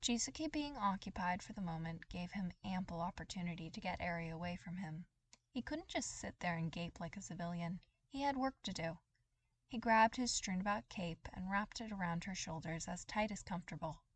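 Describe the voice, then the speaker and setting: A woman's voice: fairly low pitch, animated, a little fast, no echo. Woman narrating fiction in a dry recording. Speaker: podfic reader. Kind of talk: scripted fiction narration, dramatic and expressive.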